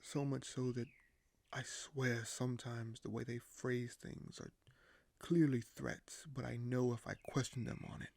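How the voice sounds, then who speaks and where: A man playing a weary, paranoid, dryly candid king in an audio roleplay, speaking in measured, formal phrases with hesitant pauses. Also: mid-range voice, little pitch movement, vocal fry, darkish tone, no room sound. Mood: wary, frustrated. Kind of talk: audio roleplay monologue to a silent listener.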